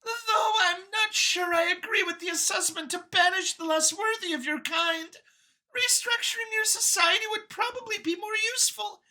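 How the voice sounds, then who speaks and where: A woman's voice: very high voice, normal speed, sharp tone, voice pushed up, low-quality recording. A woman voicing a nervous, earnest female alien mouse scientist in an audiobook, with a flustered, eager way of talking. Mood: admiring, eager. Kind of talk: acted audiobook character.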